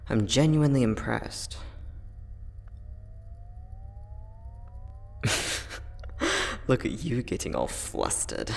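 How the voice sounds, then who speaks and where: A man playing a friendly, teasing time-traveling inventor, soft-spoken and chatty in an ASMR roleplay audio drama. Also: fairly low pitch, vocal fry, full character voice. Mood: warm, sincere. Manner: soft-spoken, chatty, playful, with frequent chuckles.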